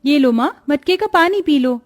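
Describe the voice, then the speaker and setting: A woman's voice: sits high, animated, normal speed. A woman voicing a caring, dutiful young village daughter in a cartoon story, with an earnest, solicitous manner. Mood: worried.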